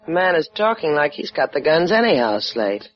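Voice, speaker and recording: An actress playing a cool, sassy adventuress sidekick with a low-pitched voice, dry and wisecracking in a 1950s radio drama. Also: female voice, sing-song, normal speed. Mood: wry, teasing. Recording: dull 1950s radio recording, faint music.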